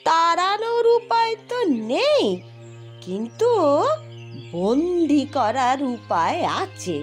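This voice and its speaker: A woman voicing a scolding old village grandmother in a cartoon, with an emphatic, drawn-out way of talking. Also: extremely high pitch, sing-song, faint music behind, full character voice. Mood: warning, instructive. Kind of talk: exaggerated Bengali cartoon voice acting.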